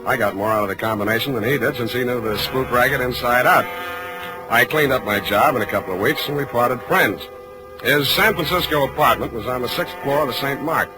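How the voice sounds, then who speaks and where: Hard-boiled American private eye, male actor, dry and wry, vintage radio drama with clear musical backing. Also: mid-range voice, brisk, over music, natural voice, rough recording. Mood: wry narration. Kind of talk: 1940s radio noir acting, first-person narration.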